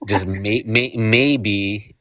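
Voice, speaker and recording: A man in his forties calling into a live stream, speaking haltingly and repeating words as he restarts his sentences. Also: on the low side, fairly even intonation, bright, forceful tone, no room sound, clean studio sound. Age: 40s. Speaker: guest caller. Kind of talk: casual debate on a live stream.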